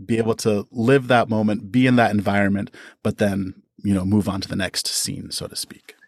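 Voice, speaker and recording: Low-voiced man explaining his craft. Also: little pitch movement, moderate pace, vocal fry, no echo, studio quality. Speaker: audio drama producer and performer, podcast guest. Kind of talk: conversational interview answers, explaining.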